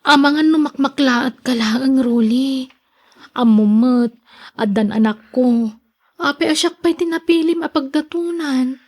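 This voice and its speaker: A woman's voice: high voice, a little slow, darkish tone. A woman with a Filipino accent playing a regretful young single mother in a radio drama, plaintive and hesitant. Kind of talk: Ilocano radio drama acting.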